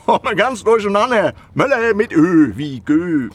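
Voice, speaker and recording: Elderly gentleman councillor, probing and theatrical, very lively delivery, for radio drama. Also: high-pitched, unhurried, husky, full character voice. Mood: genial, probing. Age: older. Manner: theatrical, probing, with muttered asides. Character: elderly inquisitive privy councillor, gentleman traveller of wine and carriages. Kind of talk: German radio play acting.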